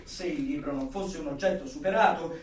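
An actor in a dystopian radio play playing a zealous, exuberant dictionary-maker of the regime, with a declamatory, fanatical delivery. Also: male voice, on the high side, brisk, natural voice. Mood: ecstatic. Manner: declamatory, fanatical, swinging from hushed to shouting. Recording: very echoey room, far from the mic.

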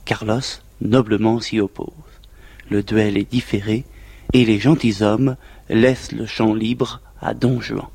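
A man giving scripted, literary narration in a measured way, linking scenes on a 1950s French record. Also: lowish voice, level tone, gravelly, darkish tone, husky, no echo.

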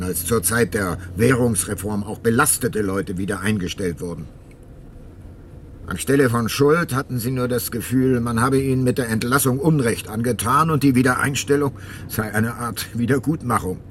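An actor playing an ageing, remorseful detective and former prosecutor in a radio drama, narrating and talking in a measured, reflective way. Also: male voice, medium pitch, slight background noise, lightly acted. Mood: confessional. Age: older. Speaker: narrator and lead character.

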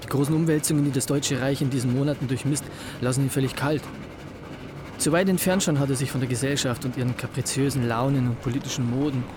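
An actor playing a detached commentator, narrating in a literary radio play. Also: male voice, medium pitch, fairly even intonation, quick pace, background noise, lightly acted. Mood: detached, brisk.

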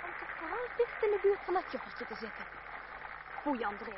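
A woman's voice: high voice, sing-song, brisk. An actress playing a haughty, teasing young noblewoman in an old radio drama, with a genteel, theatrical delivery. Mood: reproachful. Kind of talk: old-fashioned Dutch radio drama acting. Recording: noisy, muffled old radio play recording.

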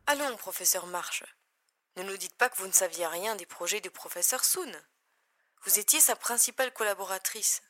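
A woman's voice: medium pitch, expressive, moderate pace, pushed and bright. A woman playing a skeptical female security agent in an audio drama, questioning in a formal, insistent way. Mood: accusing. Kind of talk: French-language audio drama dialogue.

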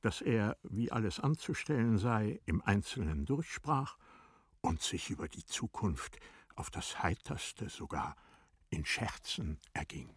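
A man narrating a literary radio drama in a deliberate, formal storytelling manner. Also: lowish voice, sing-song, husky, dry recording, studio quality.

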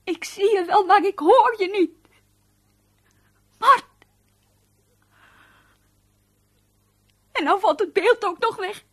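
A shaken, fretful wife in a radio drama. Her voice is high and fearful, pleading with the man she loves. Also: a little slow. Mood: desperate. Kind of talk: Dutch radio play acting.

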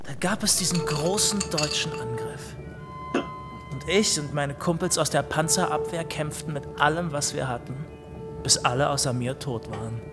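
A short, fat young soldier full of his own importance , played by a man in a radio drama. His voice sits fairly high for a male, with an emphatic, bossy, naive swagger. Music plays clearly behind him. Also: moderate pace, natural voice. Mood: boastful. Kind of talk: German radio play acting.

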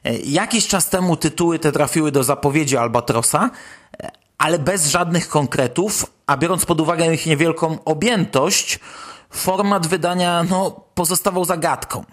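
Higher-voiced man hosting a podcast. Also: normal speed, fairly bright tone. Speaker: podcast host. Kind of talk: conversational podcast news commentary.

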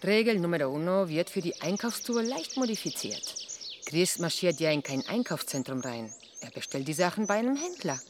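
Breezy confident commune girl in a radio play, lively and chatty, birds and noise. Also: fairly low pitch, normal speed. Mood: explaining. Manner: colloquial, breezy. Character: breezy, confident young woman of a hidden commune. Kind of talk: German radio drama acting.

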